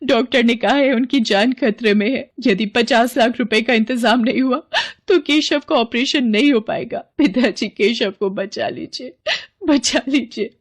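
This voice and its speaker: A woman's voice: fairly high pitch, measured pace, voice pushed up. A woman putting on a desperate young wife. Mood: pleading. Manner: pleading and tearful.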